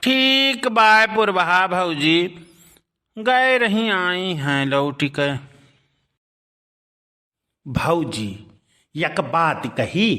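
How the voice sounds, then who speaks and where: A man voicing a sly young village man in a cartoon comedy, with an Awadhi accent and a slow drawl. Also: sits high, sharp tone, heavily acted.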